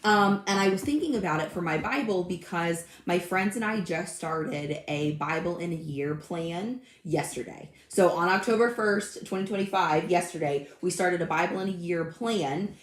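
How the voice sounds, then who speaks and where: A woman in her thirties hosting a casual craft livestream, chatty and friendly, with a slightly hoarse voice. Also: fairly low pitch, moderate pace, hardly any pauses, echoey room. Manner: chatty and friendly, greets live commenters by name. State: slightly hoarse, recovering from illness. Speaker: craft channel host. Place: home craft room.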